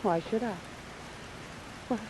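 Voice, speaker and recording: A woman's voice: slow, darkish tone, slight background noise, close to an everyday voice, rough recording. An actress playing a guarded, world-weary but tender noir woman in a 1940s radio drama, terse and understated. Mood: guarded, cool. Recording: vintage radio recording with rain sounds.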